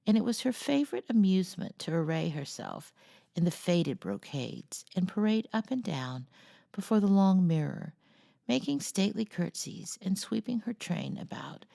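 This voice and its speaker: A woman reading a classic novel aloud as expressive storybook narration. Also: fairly low pitch, vocal fry, no echo, clean studio sound. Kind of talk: expressive scripted audiobook narration.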